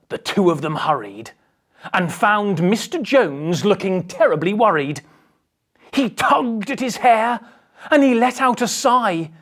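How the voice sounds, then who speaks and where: Slow, animated woman reading a storybook. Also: on the low side, husky. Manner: theatrical, dramatic emphasis with hushed passages. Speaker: storybook reader. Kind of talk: animated children's picture book read-aloud.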